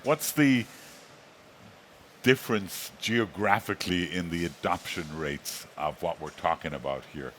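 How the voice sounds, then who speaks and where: A man's voice: low voice, measured pace, fairly bright tone, slight background noise. A man moderating an industry panel, putting questions to the guests. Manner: asking questions.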